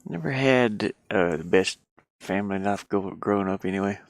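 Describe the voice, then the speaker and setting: Low, gravelly man rambling casually. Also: moderate pace, noisy background. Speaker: streamer and hobby game developer. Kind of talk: casual rambling chat while working. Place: inside a van.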